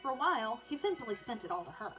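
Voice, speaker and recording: A woman's voice: on the high side, sing-song, fast talker, fairly bright tone, faint music behind. A woman teacher reading a children's biography aloud for online learners, in storybook narration with informal, chatty asides.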